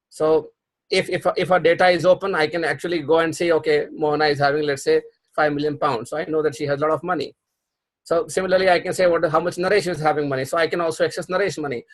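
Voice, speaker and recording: A middle-aged man teaching a programming class over a video call, explaining patiently with many restarts. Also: fairly high pitch. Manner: explanatory, repeats himself, frequent restarts and fillers. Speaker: programming instructor. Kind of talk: live online class explaining concepts.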